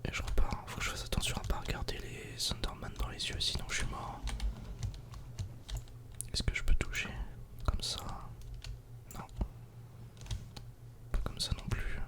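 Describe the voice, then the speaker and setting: A man softly whispering casual, informal ASMR commentary while playing a video game. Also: unhurried, long pauses. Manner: soft-spoken, casual, informal.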